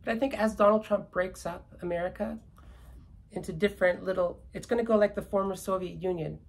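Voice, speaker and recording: A Canadian woman with an accent she traces to British ancestors, ranting about politics in casual chat. She repeats herself for emphasis, and her voice has a gravelly, creaky edge. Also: medium pitch, fairly quick. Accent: British-descended Canadian. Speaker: commentator.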